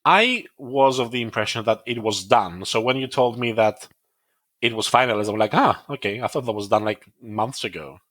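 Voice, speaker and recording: A man's voice: medium pitch, normal speed, fairly bright tone, no echo. A man in his forties co-hosting a podcast, joking and teasing the others in casual chat. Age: 40s. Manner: joking, teasing, self-deprecating. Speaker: podcast co-host.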